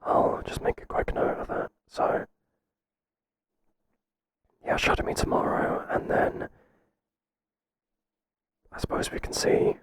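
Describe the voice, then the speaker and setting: A man playing a flustered but principled boss in an ASMR roleplay, speaking hesitantly in a breathy whisper. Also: lightly acted, rough recording. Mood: businesslike, gentle. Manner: hesitant, halting, gently firm. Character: flustered, professional male boss, kind but firm. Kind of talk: whispered ASMR roleplay, intimate second-person acting.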